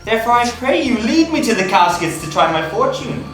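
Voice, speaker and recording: A woman's voice: a little high, very animated, a little fast. A woman playing a proud warrior prince suitor, declaiming grandly on stage in an echoey hall with music behind. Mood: boastful. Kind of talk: stage acting.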